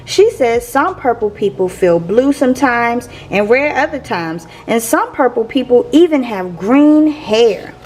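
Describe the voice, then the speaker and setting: A woman reading a picture book aloud to young listeners in a playful, expressive teacher's manner. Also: a little high, a little slow, hardly any pauses, a little hiss or hum, rough recording. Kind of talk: expressive children's read-aloud.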